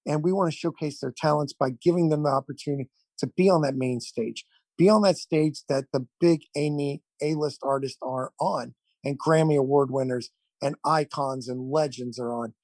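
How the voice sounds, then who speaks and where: Man, podcast guest, higher-than-average pitch, enthusiastic, earnest, repetitive, very dry recording. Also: normal speed, darkish tone, no echo. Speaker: event founder, podcast guest. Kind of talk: earnest interview answers.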